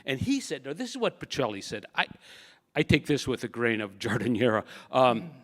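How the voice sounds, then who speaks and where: A man's voice: mid-range voice, sing-song, fairly quick, fairly bright tone. An older man, an author, telling wry anecdotes at a book talk in an easygoing storyteller's way. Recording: reverberant hall.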